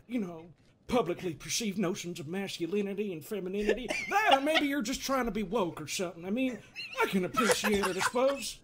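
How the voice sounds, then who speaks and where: A man playing a quirky, needling therapist in an improvised comedic game dub, speaking theatrically with halting pauses and self-amused chuckles. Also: high voice, background noise.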